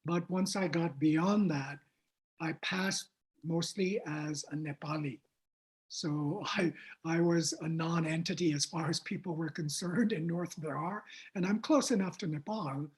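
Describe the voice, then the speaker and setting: A man's voice: fairly high pitch, a little slow. A man whose first language is Hindi, moderating a panel discussion in a thoughtful way, with plenty of fillers.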